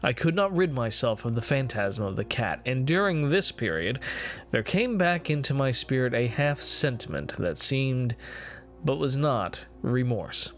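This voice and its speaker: Man playing a guilt-ridden male narrator, gothic horror storybook, formal theatrical delivery, medium pitch, music behind. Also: expressive, close to an everyday voice. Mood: brooding, confessional. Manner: formal, deliberate, theatrical phrasing. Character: guilt-ridden, morbid male narrator confessing his cruelty.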